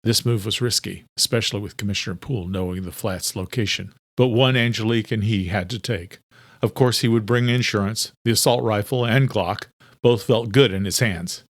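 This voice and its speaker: A man's voice: fairly low pitch, a little fast, no echo. A man reading scripted narration from a thriller audiobook as its narrator.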